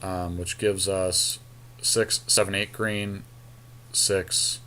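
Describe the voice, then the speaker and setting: A man co-hosting a podcast, chatting casually and informally as he deliberates aloud over a card game draft. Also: deep voice, level tone, bright, forceful tone, a little hiss or hum. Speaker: podcast co-host.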